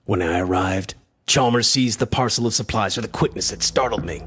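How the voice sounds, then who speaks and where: A male actor playing a concerned, skeptical gentleman who also narrates a horror radio play in a measured, formal way. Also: fairly low pitch, husky, dry recording, studio quality. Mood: somber narration.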